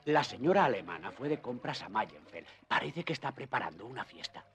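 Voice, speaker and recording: Lively, friendly village man. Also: medium pitch, a little fast, soft background music. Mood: curious. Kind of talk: Spanish-language animated series dubbing.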